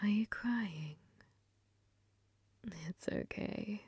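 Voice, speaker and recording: A woman voicing a flirty, possessive female demon gambler in an ASMR roleplay, breathy and often whispering, teasing with sweet menace. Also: medium pitch, darkish tone, no echo, full character voice. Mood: gloating, tender. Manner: slow, teasing, calls listener love. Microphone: close microphone, dry.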